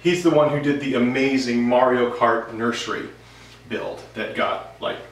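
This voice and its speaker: A man's voice: medium pitch, normal speed. Man, thirties, casual vlog host, rambling conversational delivery, a bit far from the mic, noticeable room echo. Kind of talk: casual update chat to camera. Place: home workshop, indoors. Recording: roomy, distant, noisy workshop recording.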